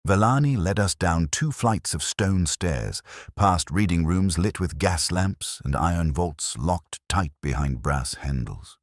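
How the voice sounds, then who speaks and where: A man's voice: sits low, lively intonation, a little slow, no room sound, clean studio sound. A man reading a Victorian detective story as scripted narration. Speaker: audiobook narrator.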